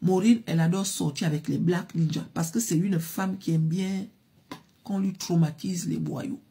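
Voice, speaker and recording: A woman's voice: lowish voice, normal speed, dark tone, slightly rough. A woman narrating a story in a chatty, casual way, talking directly to her listeners. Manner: chatty, addresses listeners as "mes chéris".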